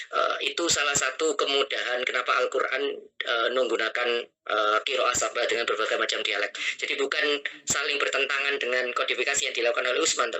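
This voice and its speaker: A halting man on a video call, his voice very bright and forward. He gropes for words with frequent fillers and false starts as he explains his answer to the class. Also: mid-range voice, slight background noise, poor audio. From Madura. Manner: hesitant, many fillers and restarts. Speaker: student.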